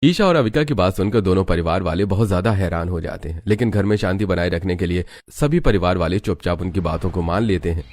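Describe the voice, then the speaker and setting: A man's voice: deep voice, expressive, fast talker, hardly any pauses, darkish tone, dry recording, studio quality. A man narrating a scripted family story as its storyteller.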